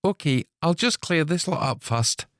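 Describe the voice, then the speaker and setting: A man putting on a high, teasing young woman. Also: expressive, a bit bright, no room sound, clean studio sound. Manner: teasing, drawn-out smirking lines.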